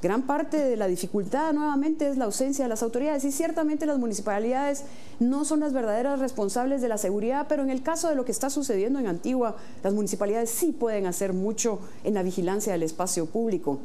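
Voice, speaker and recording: A woman from Guatemala, a mayor-elect, giving earnest explanatory answers in a studio interview, often pausing on "eh". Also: fairly high pitch, dark tone, slight background noise. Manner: earnest, explanatory, frequent "eh" hesitations.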